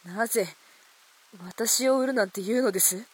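Woman acting a husky, proud young courtesan. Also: on the high side, moderate pace. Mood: hurt, indignant. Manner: old-fashioned courtesan speech. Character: young courtesan, proud, wronged, sharp-tongued. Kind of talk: radio drama acting.

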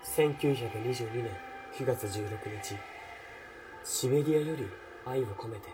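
Actor as a wistful soldier, voice under music. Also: male voice, mid-range voice, a little slow, natural voice. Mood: solemn. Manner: earnest, wistful, dramatic. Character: doomed tender young soldier, remorseful lover. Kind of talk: radio drama letter monologue. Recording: distant voice under prominent music.

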